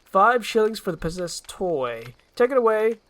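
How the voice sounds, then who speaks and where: A man's voice: high-pitched, sing-song, normal speed, fairly bright tone, pitched above the performer's own voice. A man voicing a bossy, impatient head guard with a curt, barking manner in a storybook reading. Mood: dismissive.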